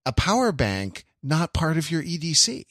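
A man co-hosting a podcast in casual chat, speaking haltingly as he circles toward his point. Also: fairly high pitch, very animated, fairly bright tone, no room sound, studio quality. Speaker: podcast co-host.

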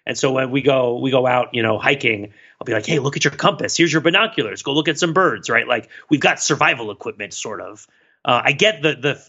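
Bright-voiced man chatting, slightly muffled audio. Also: medium pitch. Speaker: podcast co-host. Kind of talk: casual podcast chat.

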